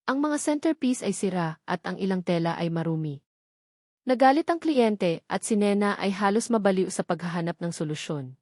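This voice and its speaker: A woman's voice: medium pitch, lively intonation, moderate pace, a little mellow, no room sound, clean studio sound. A woman reading scripted, dramatic narration of a romance story as a storyteller.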